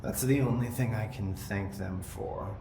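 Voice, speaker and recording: An eerie possessed young American in a video game. He talks slowly, resentful and entranced, slipping into cryptic chant-like repetition. The room echoes around him over loud background ambience. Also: fairly low pitch, vocal fry, slight background noise. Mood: resentful, detached. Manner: slow, trance-like, sing-song repetition of cryptic phrases. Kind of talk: video game cutscene acting.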